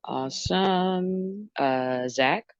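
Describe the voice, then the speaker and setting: A woman hosting a group dating show, calling on guests in turn with brisk, short approvals. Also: lowish voice, smooth, no echo, dull audio. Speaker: host.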